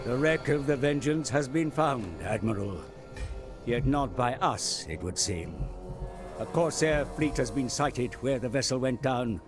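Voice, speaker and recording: An actor playing a grave pirate officer in a video game, reporting in a formal, portentous, theatrical way. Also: male voice, mid-range voice, animated, measured pace, close to an everyday voice. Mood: grave, urgent. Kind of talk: dramatic video game narration. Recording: game music over voice.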